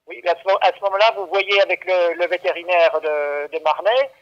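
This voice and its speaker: A man's voice: sits high, brisk. A man working at a vet clinic on a phone call, hesitant and stammering, repeating himself as he patiently explains. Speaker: veterinary clinic staff taking a call. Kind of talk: unscripted phone conversation. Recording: dull, lo-fi phone line.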